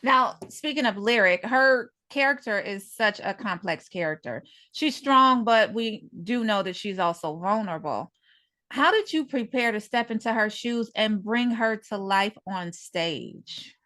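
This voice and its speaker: A woman speaks as the host of an interview show. Her voice sits at an average pitch for a woman, and she moves between introductions and questions for her guest. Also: animated, a little slow, sharp tone.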